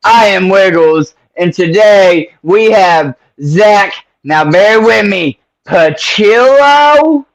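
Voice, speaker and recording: A man hosts a casual call-in interview in a relaxed, rambling, slang-heavy way. His voice sits a little high. The audio is dull and lo-fi. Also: slow, few pauses. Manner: laid-back, slangy, rambling. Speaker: interview host. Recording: dull lo-fi sound.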